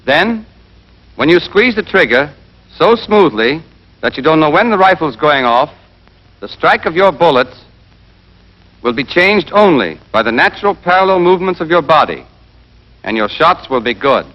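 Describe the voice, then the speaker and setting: A man playing a brisk, exacting American army rifle instructor in a scripted 1940s training film, drilling and quizzing with emphasis. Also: a little high, sing-song, a bit bright. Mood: firm, emphatic. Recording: dull band-limited 1940s film soundtrack.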